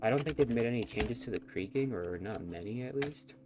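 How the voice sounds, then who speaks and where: A man's voice: mid-range voice, animated, fairly quick, music in the background. A man with a nasal, stuffy voice, rambling and self-conscious as he chats through a live game stream. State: stuffy nose from allergies.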